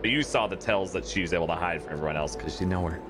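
A man's voice: low-pitched, rapid-fire, music in the background. A man running a tabletop game as game master, explaining rules and reading tells with amused ease.

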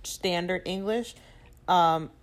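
A young woman co-hosting a casual chat podcast, chatty and quick to laugh, animated when retelling stories. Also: fairly low pitch. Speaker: podcast co-host.

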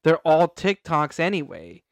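A man's voice: a little high, big pitch swings, unhurried, vocal fry, no echo. A man co-hosting a podcast in casual chat, joking and bantering playfully with friends. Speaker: podcast co-host. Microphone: handheld or adjustable podcast mic, held close.

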